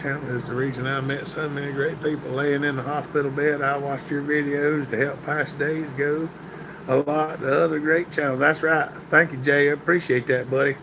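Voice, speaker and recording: Male streamer, folksy, greets chat by name, voice going hoarse, gravelly edge, light background hiss. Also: fairly high pitch, little pitch movement, moderate pace. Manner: folksy, answering viewer comments by name. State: getting hoarse and stuffy. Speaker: livestream host reading chat. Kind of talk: casual live-stream chat. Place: indoor workshop room, sitting at a metal table.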